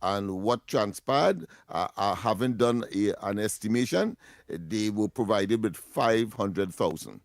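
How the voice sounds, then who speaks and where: A man giving measured radio commentary in formal wording with many fillers. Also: lowish voice, expressive, creaky, no room sound, studio quality. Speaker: radio co-host.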